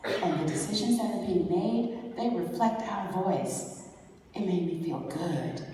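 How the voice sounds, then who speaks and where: Low, mellow-voiced woman on a panel. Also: a little slow, slight background noise. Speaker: panelist, youth advocate. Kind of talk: conference panel remarks. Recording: echoey hall, mic heard from far off.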